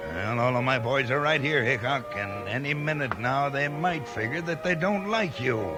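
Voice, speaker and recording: An actor playing a hard, scheming timber boss villain in a 1950s radio western, tough-talking and menacing with rustic slang. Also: male voice, mid-range voice, expressive, normal speed, music in the background, lightly acted. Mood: menacing, defiant.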